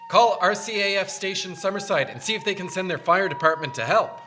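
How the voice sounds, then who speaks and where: A man's voice: high-pitched, a little fast, music in the background, close to an everyday voice. An actor playing an urgent, plain-spoken volunteer fireman in a radio drama, exclamatory in manner.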